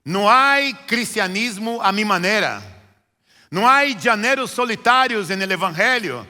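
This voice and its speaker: A man from Brazil, a native Portuguese speaker, preaching a sermon as pastor in a church with a Brazilian Portuguese accent, repeating phrases and swinging between loud emphatic lines and quiet low ones. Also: big-room sound. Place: church sanctuary.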